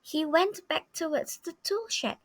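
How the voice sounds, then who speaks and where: A high-voiced woman reads a storybook aloud for children. Her delivery is expressive and dramatic, with emphasis placed for young listeners. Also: measured pace.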